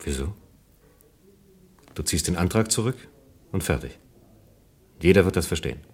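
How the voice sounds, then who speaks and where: An exasperated engineer in a radio drama, with a very deep, husky voice. He is blunt and reproachful, pressing his point in natural dialogue. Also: expressive, moderate pace, a little mellow, full character voice. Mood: insistent, reproachful. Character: Helmut, exasperated gifted male engineering colleague.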